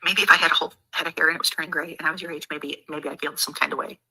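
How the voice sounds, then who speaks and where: A woman with a husky voice, ranting sarcastically and profanely on a livestream. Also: deep voice, fairly even intonation, racing, pushed and bright, poor audio. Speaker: livestreamer in a played clip.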